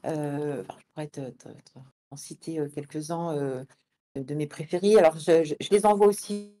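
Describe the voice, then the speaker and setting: A woman being interviewed as a guest, speaking conversationally and haltingly, often repeating words as she builds her answers. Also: low-pitched, mellow. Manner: hesitant, halting delivery with many repeated words. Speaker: interview guest, founder and manager of an event venue.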